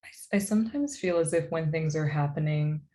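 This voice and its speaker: A woman in her twenties reflecting casually as a panelist in a discussion, feeling her way through thoughts in a halting, searching manner. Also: sits low, dark tone. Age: 20s. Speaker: panelist, artist.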